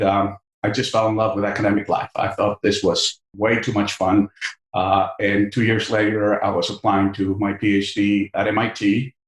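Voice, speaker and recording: A middle-aged man with a Mexican accent, a professor in a podcast interview, speaking thoughtfully with many fillers and repeated words. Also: on the low side. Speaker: business school professor, guest. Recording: echoey room.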